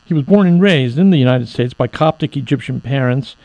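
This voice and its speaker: A man's voice: medium pitch, expressive, fairly quick, a little mellow, no echo. A man hosting a radio talk show, asking earnest, exasperated questions with frequent restarts. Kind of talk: radio interview.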